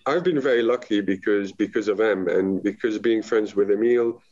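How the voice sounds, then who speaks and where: A man's voice: lowish voice, moderate pace, darkish tone, dry recording. Eager man from Lebanon, dull remote line. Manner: enthusiastic, rambling, frequent fillers. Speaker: guest, business founder. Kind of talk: casual podcast chat.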